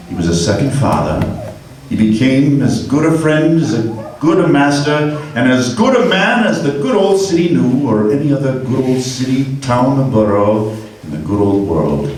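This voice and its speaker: A man's voice: mid-range voice, darkish tone, a little hiss or hum. A man narrating a classic story and addressing the audience as producer of a radio broadcast. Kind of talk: scripted narration. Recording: echoey room, far from the mic.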